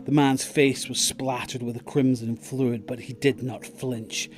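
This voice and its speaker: A man's voice: medium pitch, level tone, measured pace. A man reading scripted dramatic narration as the narrator of an audio drama. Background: prominent music.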